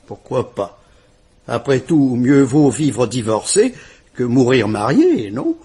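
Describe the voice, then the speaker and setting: Police commissioner, measured, probing, husky, mid pitch. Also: male voice, very animated, mellow, full character voice. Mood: questioning. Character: calm police commissioner questioning a witness. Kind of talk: 1950s French radio drama acting.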